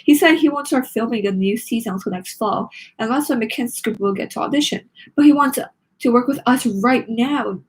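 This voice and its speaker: Woman putting on an eager, high-pitched schoolgirl friend. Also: brisk, natural voice, poor audio. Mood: excited. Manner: gushing, eager. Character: excitable, loyal schoolgirl best friend in a storybook.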